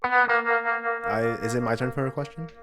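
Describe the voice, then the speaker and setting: A man's voice: on the high side, sing-song, measured pace, music in the background. A young man hosting a podcast in casual chat, upbeat and laughing often, with lots of fillers. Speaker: podcast host.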